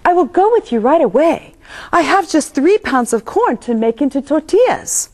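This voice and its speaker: Storybook grandma, acted by a woman reader: elderly, cheerful, courteous staller, raised pitch with lively swoops. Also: moderate pace. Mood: cheerful, stalling. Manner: polite, brightly stalling. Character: cheerful, unhurried old grandmother stalling a visitor. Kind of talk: children's storybook read-aloud.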